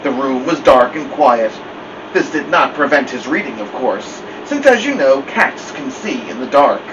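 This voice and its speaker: A man reads a children's story aloud as a storytime host, with animated, expressive pitch. He sounds some distance from the mic, with clear background noise. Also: unhurried. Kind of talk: expressive children's audiobook-style narration. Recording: dull, distant mic, steady air-conditioning-like noise.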